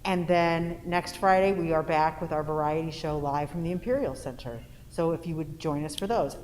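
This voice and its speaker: Lowish-voiced woman hosting in a hall. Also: moderate pace, darkish tone. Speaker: theater director hosting and reading stage directions. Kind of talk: live event introduction and stage direction reading. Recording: reverberant hall, faint noise.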